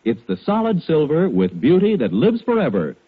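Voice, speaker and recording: A man working as a radio announcer, delivering a 1940s-style advertising pitch. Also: wildly swooping pitch, moderate pace, husky. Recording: tinny, lo-fi 1940s radio recording.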